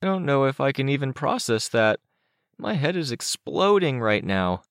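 A man voicing an excitable, defensive young boy with a complaining, exclaiming manner in an audiobook story. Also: mid-range voice, normal speed, creaky, smooth, dry recording, studio quality, pitched above the performer's own voice. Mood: stunned.

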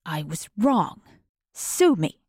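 Audiobook teen tactician, read by a woman: female, mid-pitched, self-assured, knowing delivery, no room sound. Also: animated, normal speed, no echo, clean studio sound, lightly acted. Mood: defensive. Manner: matter-of-fact, knowing. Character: clever, self-assured teenage girl explaining tactics, unapologetic.

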